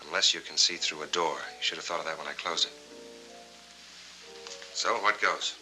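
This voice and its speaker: Low-voiced, hard-edged noir veteran. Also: male voice, fairly even intonation, moderate pace, bright, forceful tone, soft background music, exaggerated voice, low-quality recording. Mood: sardonic, accusing. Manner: clipped, sardonic, blunt. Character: hard-boiled, suspicious war veteran in film noir. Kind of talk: 1940s film noir acting. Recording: vintage 1940s film soundtrack.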